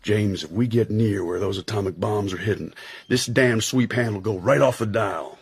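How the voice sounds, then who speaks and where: An actor playing a jaunty, irreverent American intelligence agent in a radio drama, husky and slangy, with an American accent. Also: male voice, fairly low pitch, moderate pace, no echo, heavily acted. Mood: brisk, wisecracking. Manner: slangy, wisecracking.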